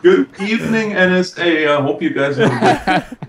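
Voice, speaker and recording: A man's voice: sits high, animated, normal speed. A man chatting casually with dry interjections on a roomy, noisy group video call.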